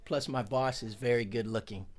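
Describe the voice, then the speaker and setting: A man's voice: medium pitch, steady tone, normal speed. Chatty man reviewing a drumming gadget. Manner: chatty, self-correcting, joking asides. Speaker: percussionist reviewing a metronome. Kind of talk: casual product review. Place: his office.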